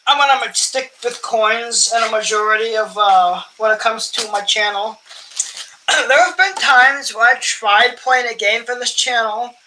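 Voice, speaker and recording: A young man with a voice higher than most men's, talking in a loose, rambling way as he sorts coins. His tone is bright and forceful, and he often breaks off to clear his throat and laugh at himself. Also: a little slow, a little hiss or hum, rough recording. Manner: chatty, self-amused, often clears throat. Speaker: coin collecting channel host. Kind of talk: casual rambling vlog chat while sorting coins.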